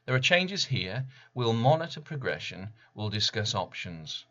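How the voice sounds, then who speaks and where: A man giving a dramatic reading of his own poem in solemn, deliberate short phrases, with slightly muffled sound. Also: mid-range voice, sing-song, pushed and bright. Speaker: poet reading own work. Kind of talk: dramatic poetry recital.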